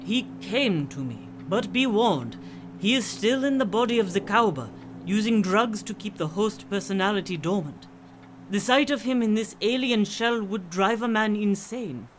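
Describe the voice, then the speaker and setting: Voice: mid-range voice, animated, moderate pace, a little hiss or hum, natural voice, poor audio. An actor in a radio play playing a mysterious veiled swami who tells strange tales in a grave, formal, portentous manner. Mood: grave, cryptic.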